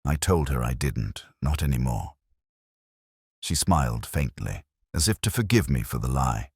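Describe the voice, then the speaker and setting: A man reading a scripted first-person detective story as narrator, in a measured, solemn, literary manner. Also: extremely low pitch, big pitch swings, darkish tone, slightly rough, dry recording, studio quality.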